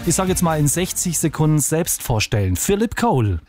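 A man's voice: a little high, very animated, brisk, a little mellow. A man presenting a music chart show on the radio in an upbeat presenter style and interviewing a guest.

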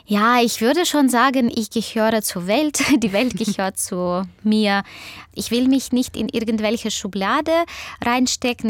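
A woman's voice: medium pitch, dry recording, clean studio sound. A woman in her thirties from Ukraine, a native Russian speaker, speaking German thoughtfully as a radio interview guest. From Ukraine (Odessa). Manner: thoughtful, occasional laughter, non-native grammar slips. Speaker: author and doctor, interview guest. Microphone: studio microphone.

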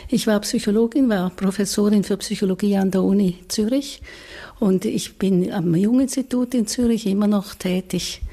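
A woman's voice: medium pitch, moderate pace, warm tone. A woman in her eighties with a Swiss German accent, telling stories in a frank, reflective interview. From Switzerland. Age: 80s. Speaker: interviewee, psychologist. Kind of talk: recorded interview.

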